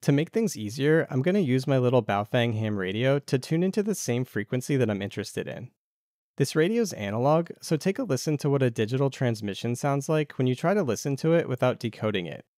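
A man's voice: mid-range voice, animated, brisk, darkish tone, no room sound, studio quality. A man narrating a casual DIY tech tutorial as the video's host.

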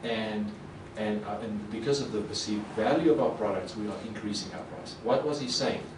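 Middle-aged man, Afrikaans speaker, animated conference talk, roomy hall, voice a little distant. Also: on the low side, normal speed, noisy background. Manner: animated, gestures a lot, acts out quoted reactions. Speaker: conference presenter. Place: conference hall with projector screen. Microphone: podium mic. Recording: echoey hall, mic a bit far.

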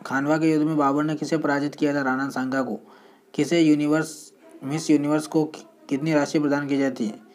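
A man presenting a general knowledge quiz, reading each question and its answer in a steady voice with short restarts. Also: on the high side, brisk.

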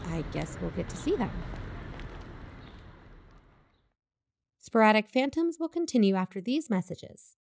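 A woman playing an earnest investigative podcast host, narrating in a composed, measured way. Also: mid-range voice, sing-song, gravelly, a little mellow. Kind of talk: scripted narration in audio drama.

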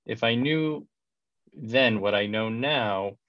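A man in his thirties hosting a video call interview, warm and effusive, often repeating words as he talks, speaking through a headset. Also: mid-range voice, slow pace, dry recording. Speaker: host. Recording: dull, dry video call audio.

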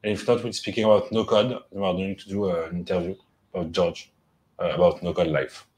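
A man's voice: sits low, flat delivery, a little mellow, a little hiss or hum. A man hosting a casual live stream interview in a living room, chatty and joking. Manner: fast, chatty, jokes. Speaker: host. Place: apartment living room at night, indoors.

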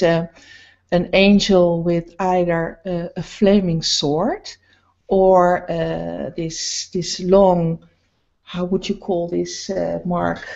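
A middle-aged woman painter speaking slowly and hesitantly about her paintings, with many pauses, on a video call. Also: on the low side, creaky, darkish tone. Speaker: painter guest. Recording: muffled video call audio.

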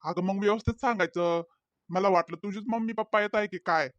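Man voicing a teasing young cartoon husband, higher pitch. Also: a little fast, fairly bright tone, dry recording, dull audio. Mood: wheedling. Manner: talkative, wheedling, hesitant fillers. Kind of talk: comedy cartoon voice acting.

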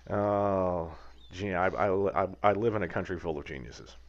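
Low gravelly man rambling wryly. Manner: rambling, wry, prone to mock rants. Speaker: aircraft builder vlogger. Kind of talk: casual voice-over commentary. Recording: slightly dull lo-fi sound.

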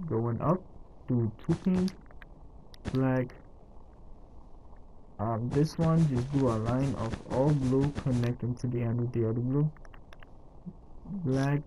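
A man narrating a casual step-by-step game tutorial in a halting, instructional way, counting out items as he goes. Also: mid-range voice, mellow, slight background noise, rough recording. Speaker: tutorial host.

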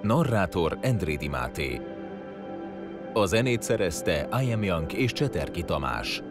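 A man's voice: low-pitched, big pitch swings, over music. A man reading out a scripted announcement of credits as an announcer.

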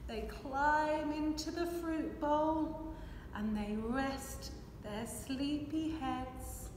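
A woman in her thirties reading a children's picture book aloud in an expressive, rhythmic, measured way. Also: high voice. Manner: expressive, measured rhythmic delivery while signing. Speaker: children's storyteller. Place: large hard-walled hall with brick and plaster. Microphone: camera a few metres away. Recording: echoey hall, distant microphone, faint noise.

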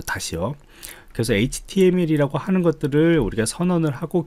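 A man's voice: mid-range voice, darkish tone, dry recording. A man giving a screencast coding tutorial as an instructor, explaining politely step by step. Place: indoors, voice-over on screen recording.

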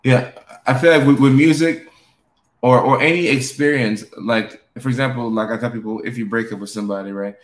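A man's voice: medium pitch, fairly quick. A man in his thirties who grew up in Norway, hosting a casual live chat about mental health with easy, affirming talk. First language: Norwegian. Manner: relaxed, affirming, frequent backchannels. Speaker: host. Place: living room at home.

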